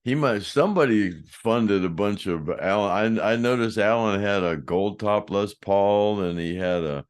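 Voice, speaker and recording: An older man with a deep voice telling rambling stories in a casual chat, often trailing off and restarting. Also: unhurried, hardly any pauses, smooth. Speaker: host, former band roadie.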